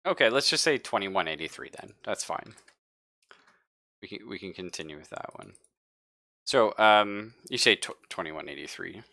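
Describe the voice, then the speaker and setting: A man's voice: deep voice, expressive, normal speed, creaky, bright, forceful tone, no echo. A man acting as game master, narrating and chatting casually in an easygoing, accommodating way. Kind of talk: casual tabletop game narration and table chat.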